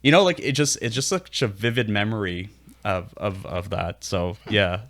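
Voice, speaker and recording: A man's voice: lowish voice, normal speed, a bit bright. A man in his thirties from Quebec, a native French speaker, chatting easily as a podcast guest and laughing often. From Quebec, Canada. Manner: easygoing, laughs often, lots of fillers. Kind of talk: casual interview chat.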